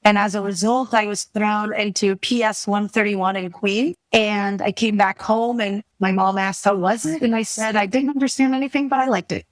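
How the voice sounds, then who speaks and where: A woman from Venezuela with a mild Venezuelan accent, a guest who candidly recounts her childhood in a measured way. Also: mid-range voice, fairly even intonation, dry recording. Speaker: guest, venture capitalist. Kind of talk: reflective personal storytelling.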